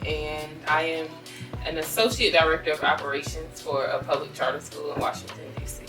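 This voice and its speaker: Low-voiced woman in her thirties. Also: little pitch movement, fairly quick, reverberant, far from the mic, music in the background, poor audio. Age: 30s. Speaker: guest. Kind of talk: casual interview chat.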